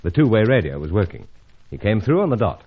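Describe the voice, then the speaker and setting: A man acting a steady, gentlemanly British secret agent with clipped, composed speech in an old-time radio adventure serial. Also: on the low side, fast talker, slightly rough, lo-fi sound, heavily acted. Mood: grave narrating.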